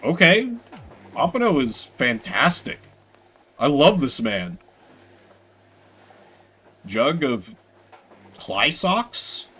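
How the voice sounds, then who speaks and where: An excitable male streamer gives live commentary on a video game. His pitch leaps around a lot and his tone is bright and punchy. He talks in loose, sweary slang and reads bits of game text aloud. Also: drawn-out words, long pauses, husky.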